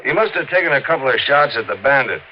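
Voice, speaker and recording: Matter-of-fact police sergeant played by an actor, muffled recording. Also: male voice, mid-range voice, animated, quick pace, close to an everyday voice. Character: plain-spoken, weary police sergeant on a case. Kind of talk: 1950s radio crime drama. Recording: muffled old radio recording, light hiss.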